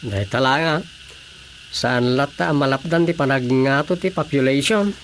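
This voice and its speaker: A man acting a dry, argumentative village man in a radio comedy drama, with an Ilocano Filipino accent and a gravelly voice. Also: mid-range voice, moderate pace, fairly bright tone, slight background noise, close to an everyday voice. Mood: matter-of-fact.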